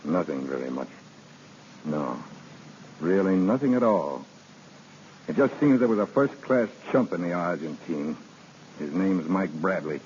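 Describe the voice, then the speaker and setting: An actor playing a proud, slangy, hard-boiled rancher who has been jilted, in an old radio drama. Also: male voice, low-pitched, very animated, a little slow, pauses often, a little mellow. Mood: bitter. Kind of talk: 1930s-40s radio drama acting. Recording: muffled, hissy old radio broadcast.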